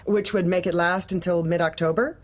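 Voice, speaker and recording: A woman playing a manipulative, politely officious office manager who probes in a businesslike way, in a dark comedy audio drama. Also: fairly low pitch, fairly even intonation, brisk, lightly acted. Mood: probing, businesslike. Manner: polite, probing, businesslike, bureaucratic.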